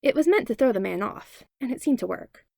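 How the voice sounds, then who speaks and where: A woman narrating a fanfiction audiobook in an expressive read-aloud manner on a close mic. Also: fairly high pitch, brisk, creaky, no echo. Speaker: fanfiction podfic reader. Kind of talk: storybook narration.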